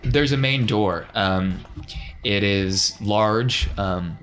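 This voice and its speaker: Low-voiced man narrating a tabletop game. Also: extremely slow, fairly bright tone, faint music behind. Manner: conversational, descriptive scene-setting. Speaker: game master.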